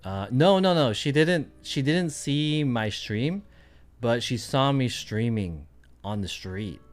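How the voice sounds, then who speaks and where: A man's voice: medium pitch, lively intonation, slow, soft background music. A man chatting casually on his livestream, rambling and thinking aloud with lots of fillers. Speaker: streamer.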